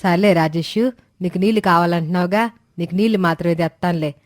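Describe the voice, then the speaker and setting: A woman voicing a kind, hospitable village mother-in-law who talks gently. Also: fairly low pitch, fast talker, no room sound, studio quality, lightly acted. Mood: gentle.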